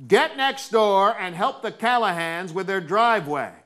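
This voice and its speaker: A man's voice: high voice, unhurried, fairly bright tone. A man voicing a bossy, scolding mother in a stage comedy, commanding and impatient. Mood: scolding, stern. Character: bossy, scolding mother ordering her child about.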